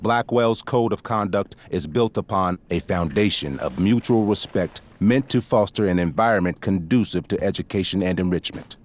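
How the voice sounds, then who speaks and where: Stern middle-aged school principal, low pitch, formal, pompous, measured delivery, video game drama. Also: male voice.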